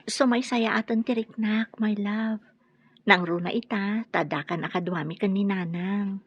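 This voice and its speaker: Husky actress as a sweet young wife. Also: female voice, medium pitch, a little slow, no room sound. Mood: tender. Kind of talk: Ilocano radio drama acting. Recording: dull, lo-fi audio.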